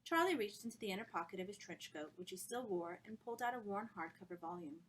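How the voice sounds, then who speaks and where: A woman author reading her own novel aloud in an expressive storytelling style. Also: medium pitch, fast, slight background noise, poor audio.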